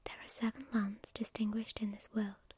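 A woman voicing a calm, commanding male angel messenger in a breathy whisper, with a measured, preaching delivery. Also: mid-range voice, natural voice. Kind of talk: whispered storytelling.

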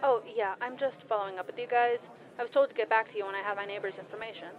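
A woman's voice: medium pitch, racing, close to an everyday voice. A woman acting as a casual, mildly exasperated caller in an audio comedy drama, offhand and apologetic on a muffled phone line. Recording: muffled phone call, faint chatter behind.

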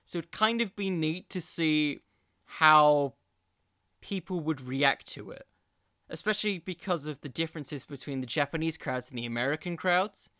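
Male podcast host, higher-pitched, clean close dry sound, casual commentary, rambling, self-deprecating. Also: normal speed, sharp tone, no echo.

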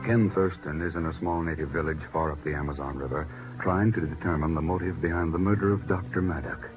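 A man's voice: low voice, steady tone, fairly quick. A man narrating as announcer of a 1950s radio drama, in a scripted dramatic style. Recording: muffled 1950s radio broadcast with music. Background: loud music.

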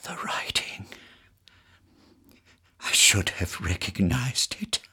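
An elderly scholarly professor for radio drama. The voice is husky and unhurried, swinging widely in pitch. He is genteel and courteous, with a probing edge. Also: very animated, pushed and bright, whispered, heavily acted. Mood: hushed, probing. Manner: genteel, hesitant, measured, courteous.

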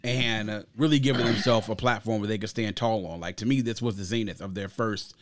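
A man's voice: fairly low pitch, brisk, slight background noise. A man hosting a music podcast, giving casual, opinionated album commentary in an emphatic, slangy way. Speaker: podcast host reviewing music.

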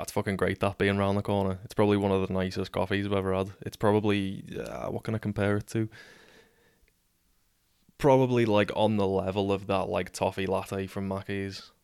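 Scouse podcast host in his twenties, deadpan monotone, crisp dry close sound. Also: low-pitched, no room sound. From Liverpool, England. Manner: monotone, sweary, trips over words. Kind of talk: casual solo podcast storytelling.

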